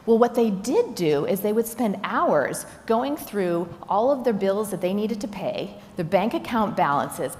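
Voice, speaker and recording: A woman's voice: medium pitch, a little mellow. A woman executive giving a prepared business keynote, speaking in an instructive, emphatic way with rhetorical questions. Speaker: chief executive giving a conference talk. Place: large indoor talk venue. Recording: echoey hall, noticeable background noise.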